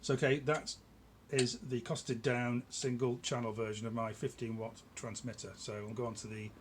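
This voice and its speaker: A middle-aged man giving a casual, informal product demonstration in a small indoor workshop, explaining haltingly and repeating words for emphasis. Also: medium pitch, level tone. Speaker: electronics builder presenting their own product. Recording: clear background noise in a room.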